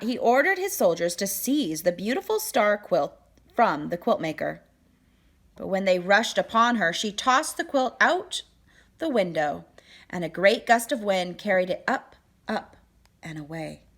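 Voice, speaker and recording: Expressive woman reading a picture book aloud. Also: medium pitch. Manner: expressive, deliberate phrasing with dramatic emphasis. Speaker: read-aloud storyteller.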